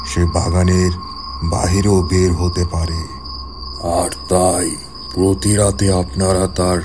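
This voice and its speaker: A man playing a grave, commanding village exorcist in a horror cartoon, speaking in solemn, measured pronouncements. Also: sits low, noisy background.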